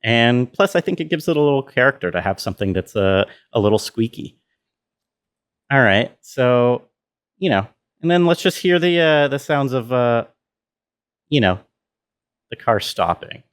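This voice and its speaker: A man's voice: medium pitch, lively intonation, moderate pace, pauses often, clean tone. A man giving an informal walkthrough as a sound designer, thinking aloud as he explains. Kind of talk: casual tutorial walkthrough.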